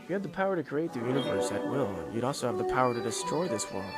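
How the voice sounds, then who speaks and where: Guy doing a calm polite anime teen boy, explains stuff smoothly, fan dub, loud music behind him. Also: medium pitch, fast talker, lightly acted. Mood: calm, explanatory. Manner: smooth-talking, composed, explanatory, courteous. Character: calm, polite teenage esper boy explaining cosmic secrets.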